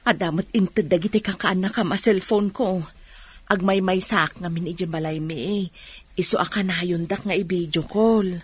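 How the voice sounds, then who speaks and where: A woman's voice: on the low side, fairly even intonation, normal speed, slightly rough. A woman playing a worried, homely older mother in a radio drama, chatting and explaining, with an Ilocano accent.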